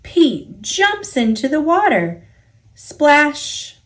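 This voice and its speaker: A woman reading a children's picture book aloud in an expressive, deliberate storytelling way for young listeners. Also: high-pitched. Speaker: storybook reader. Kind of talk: children's read-aloud narration.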